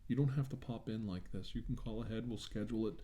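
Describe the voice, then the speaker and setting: A man with a low, very mellow and relaxed voice plays a doctor in an improvised ASMR role-play. He speaks softly and reassuringly, with a hesitant, halting delivery. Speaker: ASMR role-player acting as a government doctor.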